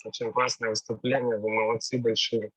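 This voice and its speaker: A male mentor speaks in short, encouraging remarks to an online class. His voice is husky and his sound is dry and close. He is heard over a video call. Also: fairly low pitch, flat delivery, a little fast, a bit bright, no room sound, poor audio. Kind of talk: giving feedback in an online class.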